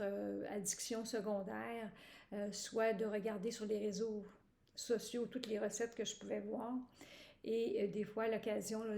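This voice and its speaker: A woman's voice: medium pitch, gravelly, dark tone, reverberant. A woman from Quebec with a Québécois accent, giving a hesitant personal testimonial with many fillers and restarts. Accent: Québécois French. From Quebec, Canada. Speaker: program participant giving a testimonial.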